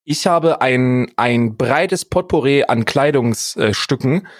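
A man's voice: medium pitch, slow, no room sound. A man co-hosts a podcast in a mid-range voice, recorded very dry. He tells personal stories casually, pokes fun at himself and exaggerates for dramatic effect. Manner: self-deprecating, dramatic exaggeration. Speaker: podcast co-host.

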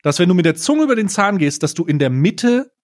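Guy on a podcast, bit high pitched, blunt slangy storytelling, throws in english words. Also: big pitch swings, fairly quick. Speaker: podcast co-host. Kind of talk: casual anecdote telling.

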